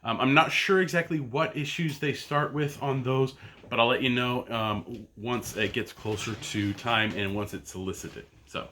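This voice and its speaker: Casting: man in his thirties, comic podcast host, bright and forward tone, rambling, chatty delivery full of ums and self-corrections. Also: medium pitch, normal speed. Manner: rambling and chatty, with many fillers and restarts. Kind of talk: casual review of a weekly comic pull list. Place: home basement.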